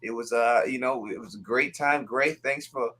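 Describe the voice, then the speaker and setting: Host: man, bright tone, upbeat shout-outs, voice a little raspy. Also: mid-range voice, normal speed. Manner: upbeat, shout-outs to viewers, repeats words. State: voice getting raspy. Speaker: live stream host. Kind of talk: casual live stream chat.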